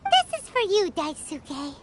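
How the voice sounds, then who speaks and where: A woman voicing a tiny, perky, smug female fairy companion in a video game, with a squeaky childlike voice and chirpy manner. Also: huge pitch swings, a little slow, heavy background noise, exaggerated voice. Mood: pleased. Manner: talks of self in third person, chirpy. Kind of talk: cute cartoonish video game voice acting. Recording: loud game music behind.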